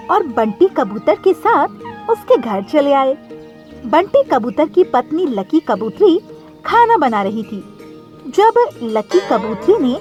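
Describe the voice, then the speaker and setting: A woman's voice: high-pitched, a little mellow. A woman narrating a scripted cartoon story as the storyteller.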